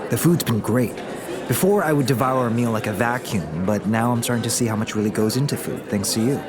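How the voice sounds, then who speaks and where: A man playing a young Korean business heir, a sincere and gently teasing suitor in a romantic audio drama. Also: mid-range voice, brisk, over music, lightly acted. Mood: warm, sincere.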